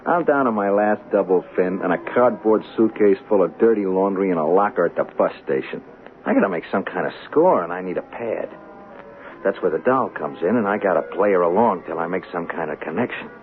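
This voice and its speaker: An actor playing a shifty small-time hustler in a radio drama, talking slangy, hesitant and streetwise. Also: male voice, deep voice, expressive, a little fast, faint music behind. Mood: scheming. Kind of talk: radio drama acting with first-person narration. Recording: tinny 1970s radio broadcast, crowd behind.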